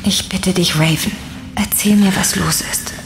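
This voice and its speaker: An actress playing an inquisitive young woman, telling and acting out a dark adventure in an earnest, measured audio drama style. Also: fairly low pitch, fairly even intonation, background noise. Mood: concerned, probing. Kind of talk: German audio drama narration and dialogue. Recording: clear background ambience.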